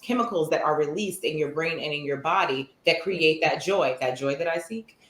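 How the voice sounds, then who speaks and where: A woman speaking as a podcast guest, her voice a little low. She explains in an earnest, steady way, and the room around her rings with echo. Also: moderate pace. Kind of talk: casual interview chat.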